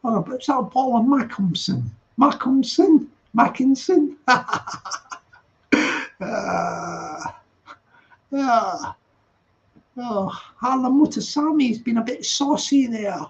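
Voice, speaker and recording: A man in his thirties hosts a late-night livestream, reading comments aloud in a playful, chatty way. He talks slowly with a gravelly, fry-tinged voice. The audio is dull and lo-fi. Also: very high voice, lively intonation. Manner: chatty, playful, laughs easily. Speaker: livestream host.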